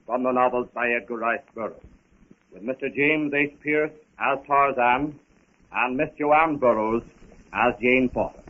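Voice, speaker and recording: A man announcing a scripted adventure serial in a formal, dramatic 1930s radio style. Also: mid-range voice. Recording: muffled 1930s radio recording.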